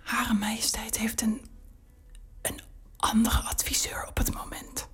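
An actress playing a discreet lady-in-waiting who speaks in hushed tones. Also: a little high, little pitch movement, a little slow, slightly rough, heavily acted. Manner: hushed, whispering.

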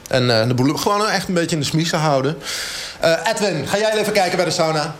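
A man's voice: on the high side, expressive, fairly bright tone. Flustered gym man, faint noise. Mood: flustered, evasive. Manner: halting, evasive, self-correcting. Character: flustered, hesitant male gym worker, stammering excuses. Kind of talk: mock radio reportage interview.

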